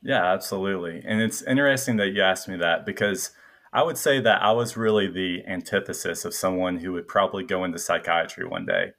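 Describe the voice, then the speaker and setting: A man in his twenties with a light Tennessee Southern twang, a guest telling his story in a relaxed, reflective way with frequent fillers. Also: deep voice, a little fast. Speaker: guest, incoming psychiatry resident. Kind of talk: casual interview chat.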